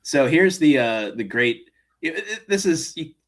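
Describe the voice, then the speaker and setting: A man's voice: a little high, animated, a little slow. A man in his forties chatting casually on a movie watchalong, laughing often and quoting film lines. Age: 40s. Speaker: co-host.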